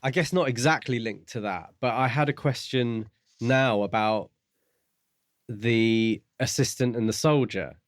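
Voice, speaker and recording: A man's voice: medium pitch, a little slow, no room sound, clean studio sound. A man co-hosting a podcast in casual book discussion, tentatively thinking aloud. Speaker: podcast co-host.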